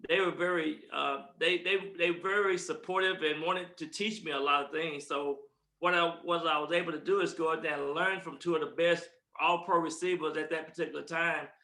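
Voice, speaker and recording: A man, a guest answering interview questions in a relaxed, halting way. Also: fairly high pitch, echoey room, poor audio. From East Texas, USA. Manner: relaxed and halting, with repeated words and fillers. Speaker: guest, former football player.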